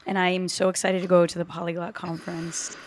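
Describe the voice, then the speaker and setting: Young woman hosting a chatty podcast, excited and upbeat, voice on the lower side. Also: fast, a little hiss or hum, rough recording. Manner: enthusiastic, upbeat. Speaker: podcast host. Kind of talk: casual podcast chat.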